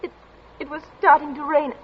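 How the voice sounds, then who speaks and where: A high, tearful young female voice, acted by a woman, frightened and halting in the manner of 1940s radio drama. She stammers like a cornered daughter before her father, on a muffled, noisy old broadcast recording. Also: flat delivery, lightly acted. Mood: hesitant, fearful.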